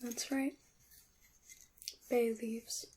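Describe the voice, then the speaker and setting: Woman playing a slow, whispery witch. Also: on the high side, level tone, mellow, heavily acted. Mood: hushed, instructive. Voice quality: breathy, whispery. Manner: slow, deliberate, slightly formal phrasing. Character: gentle, knowing witch teaching her craft. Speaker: ASMR performer. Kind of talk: whispered and soft-spoken ASMR roleplay.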